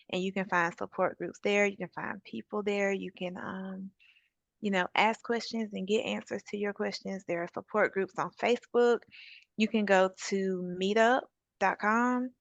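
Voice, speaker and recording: A woman with a gravelly, creaky edge to her voice speaks as a guest, giving encouraging and practical advice. Her sound is dry and close, but the audio is dull and lo-fi, as from a remote call mic. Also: medium pitch, fairly even intonation, normal speed, no echo. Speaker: suicide prevention advocate. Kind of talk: conversational interview guest.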